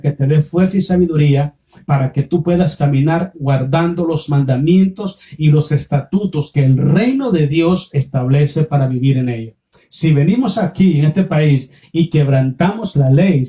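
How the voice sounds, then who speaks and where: A man's voice: on the high side, mellow. A male pastor with a Nicaraguan Spanish accent preaching a didactic sermon, repeating phrases and posing rhetorical questions.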